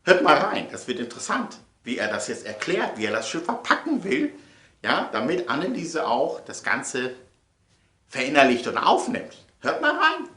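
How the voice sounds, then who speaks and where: A man's voice: fairly high pitch, huge pitch swings, normal speed, sharp tone. A man presenting in a casual, chatty way, rambling and emphatic, repeating phrases for effect. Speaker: presenter of his audio sketches. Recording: echoey room.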